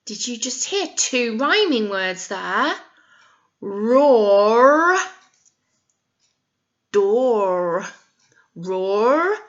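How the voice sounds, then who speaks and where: A woman's voice: a little high, lo-fi sound. A British woman teacher reading a picture book to young children, with sing-song emphasis and frequent encouraging questions. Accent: British English. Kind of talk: interactive read-aloud lesson for young children.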